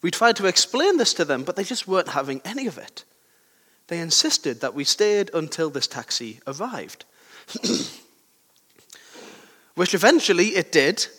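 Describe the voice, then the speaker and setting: A young man with a sore throat and a slightly croaky voice, giving a conversational sermon with personal stories and questions to his listeners. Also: sits high, a bit bright. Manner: conversational, self-deprecating, asks the listeners questions. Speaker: preacher. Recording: long hall reverb.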